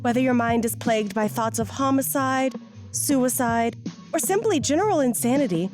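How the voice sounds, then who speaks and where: An actress plays a female announcer for an institutional orientation tape in an audio drama. She has a fairly high voice and a polished, saccharine sales delivery with something sinister under it. Music plays clearly behind her. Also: expressive, moderate pace, darkish tone, close to an everyday voice. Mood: falsely cheerful. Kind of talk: scripted promotional video narration.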